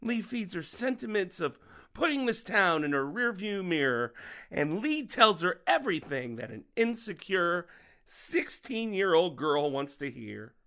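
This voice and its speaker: An American man acting in an audio drama as a middle-aged, menacing, vengeful father, speaking with deliberate, controlled menace. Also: sits high, very animated, sharp tone, exaggerated voice. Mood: bitter, anguished.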